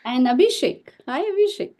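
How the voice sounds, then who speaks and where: A woman's voice: sits high, lively intonation, normal speed. Woman hosting an online meditation class. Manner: warm-paced, many fillers and restarts. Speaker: host of online meditation session. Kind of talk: welcoming host talk. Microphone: video call.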